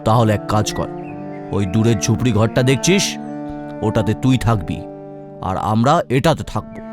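A man's voice: fairly low pitch, moderate pace, natural voice. Cartoon elder brother, domineering and grasping, acted by a man, curt delivery, music underneath. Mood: stern, bossy. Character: greedy domineering elder brother, a farmer's son.

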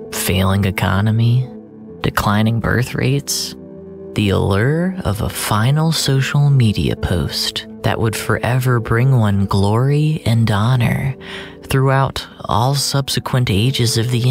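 A man narrating first-person fiction in a deliberate, brooding, dramatic way, drawing out his phrases. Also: mid-range voice, vocal fry. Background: music.

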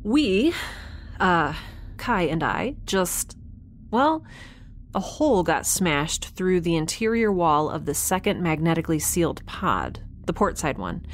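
A young spaceship dweller, stubborn and wry. Her voice sits a little low and moves expressively as she rambles and hesitates. It is a naturalistic audio drama with light ambient noise. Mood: curious, hesitant. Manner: rambling, hesitant, sarcastic asides. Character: young woman living aboard a spaceship, wry, curious, stubborn.

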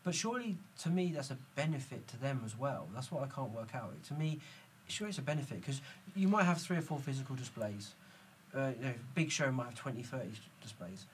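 A man's voice: medium pitch, lively intonation, fairly quick, hardly any pauses, slight background noise. A man hosting a podcast panel, giving strong opinions and often repeating words as he starts sentences. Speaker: host. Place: dim studio lounge with screen.

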